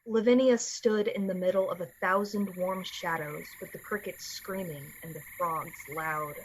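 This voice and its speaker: A woman's voice: on the low side, steady tone, normal speed, slight background noise, lightly acted, poor audio. A woman playing the solemn storyteller of an eerie radio play, narrating a scripted tale. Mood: eerie, descriptive.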